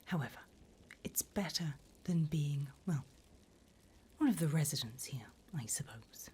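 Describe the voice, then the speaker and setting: A woman's voice: sits low, expressive, measured pace, a little mellow, slight background noise. A woman voicing a sly, teasing demon job interviewer from hell in a whispered, mock-formal ASMR roleplay. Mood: sly, businesslike. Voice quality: breathy whisper.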